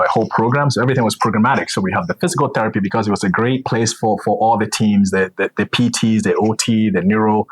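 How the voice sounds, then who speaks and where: A man's voice: fairly low pitch, husky, rough recording. A middle-aged male physician from Ghana, telling his career story in an easygoing, conversational way with plenty of filler sounds. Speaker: physician guest. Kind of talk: conversational interview.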